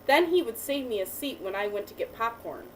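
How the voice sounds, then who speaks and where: A woman teacher reading a picture book aloud to a child, pausing to ask questions and praise the answers. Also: a little high, big pitch swings. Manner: patient, encouraging, asks and praises. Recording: distant mic with faint background noise.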